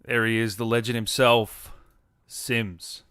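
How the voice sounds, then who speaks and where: A man's voice: mid-range voice, little pitch movement, slow pace, a bit bright, clean tone, dry recording, clean studio sound. A man hosting a gaming show, chatting casually with effusive, matey informality. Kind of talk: casual livestream interview and chat.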